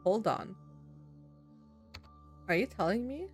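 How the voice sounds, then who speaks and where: A woman giving expressive, sweary commentary as she plays a horror game, reading some of the text aloud. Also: mid-range voice, takes it slowly, over loud music. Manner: expressive, sweary, reacting out loud. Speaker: video game streamer.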